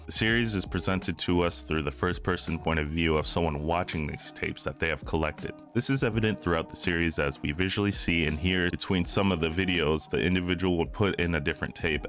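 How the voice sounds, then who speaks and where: A man with a very low voice delivers scripted narration for an analytical video essay. He speaks as the narrator, with faint music playing behind him. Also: fairly bright tone.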